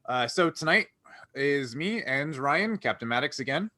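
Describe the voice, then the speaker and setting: Bright-voiced man hosting a casual chat. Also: a little high, animated, unhurried. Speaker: podcast host.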